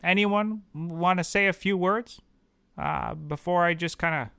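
An actor playing a dazed, bumbling cheap private detective in a scripted comedy audio drama, rambling in a deadpan way. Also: medium pitch, measured pace, creaky, clear, resonant tone, dry recording, clean studio sound. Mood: unrepentant, deadpan.

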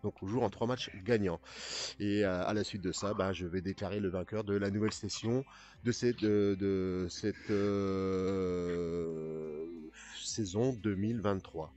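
Brief: male tournament host, low voice, chatty, repeats himself, voice chat. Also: steady tone, a little slow, darkish tone, lo-fi sound. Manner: chatty, repeats words, laughs easily. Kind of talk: casual hosting of a VR match. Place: voice chat while playing VR.

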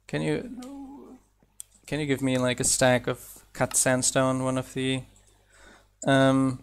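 A man's voice: mid-range voice, takes it slowly, smooth. A young man whose first language is German, with a slight German accent, chatting casually and thinking aloud while streaming a building game. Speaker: mod developer streaming gameplay. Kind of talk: casual live-stream chat while playing.

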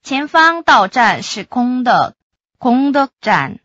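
A woman's voice: sits high, sing-song, drawn-out words, a bit bright, no room sound. A woman reading a short scripted transit announcement of the next station. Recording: dull, lo-fi announcement audio.

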